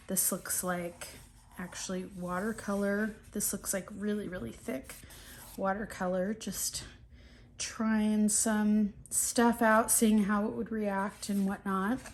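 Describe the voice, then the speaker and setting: A middle-aged woman sniffling and a bit scratchy-throated from allergies, chatting casually through an art journal flip-through with lots of ums and restarts. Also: mid-range voice. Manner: relaxed, rambling, many ums and restarts.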